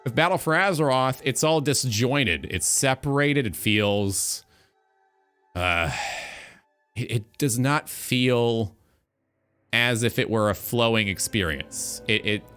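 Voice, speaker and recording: A man hosting a talk show, giving opinionated commentary on gaming in an emphatic, deliberate way with ornate wording. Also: mid-range voice, animated, fairly bright tone, clean tone, soft background music.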